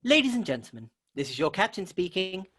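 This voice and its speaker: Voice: mid-range voice, very animated, brisk, a bit bright, a little hiss or hum. An actor playing a theatrical, formal announcer in a comic radio play. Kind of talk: grand scripted radio serial announcing.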